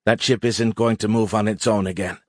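A man's voice: on the low side, steady tone, fairly quick, no echo, studio quality, natural voice. A man voicing a weary, blunt young female alien cadet in an audiobook, speaking formally and with emphatic insistence. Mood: exhausted, adamant.

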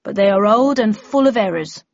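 A woman voicing a stern, brisk bellman who chairs a meeting in an officious, declaiming manner, in an audiobook story. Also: mid-range voice, animated, no echo, lo-fi sound, lightly acted.

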